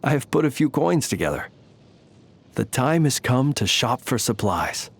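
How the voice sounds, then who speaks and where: An actor playing a courtly, ancient inhuman gentleman soldier in a video game, speaking in a polite, formal, measured and wryly understated manner. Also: medium pitch, sing-song, vocal fry, breathy edge, exaggerated voice. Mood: courteous, reflective. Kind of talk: scripted game character voice lines.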